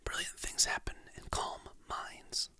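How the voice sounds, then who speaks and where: A content creator softly whispering motivational quotes and affirmations in a slow, soothing ASMR style. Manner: soft whisper, slow and soothing, reads quotes then names the author.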